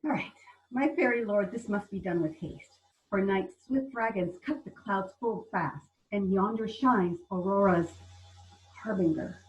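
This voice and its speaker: A woman's voice: medium pitch, measured pace, lightly acted. A woman playing a mischievous, taunting goblin sprite in a theatrical Shakespeare reading over a video call. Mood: eager, eerie. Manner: theatrical, teasing. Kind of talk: Shakespeare table read in verse. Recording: muffled video call, distant mic.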